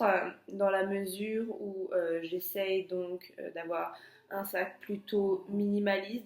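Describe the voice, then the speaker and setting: A woman's voice: medium pitch, a little mellow, roomy sound. Chatty young woman with a stuffy nose. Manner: chatty, many hesitations and restarts. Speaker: vlogger. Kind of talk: casual chat.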